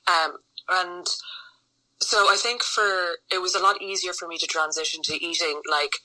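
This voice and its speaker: A woman's voice: lowish voice, moderate pace, sharp tone, low-quality recording. A woman from Ireland, a researcher guest on a podcast, talking in a chatty way over a remote call and full of fillers. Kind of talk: casual interview chat. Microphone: remote video call.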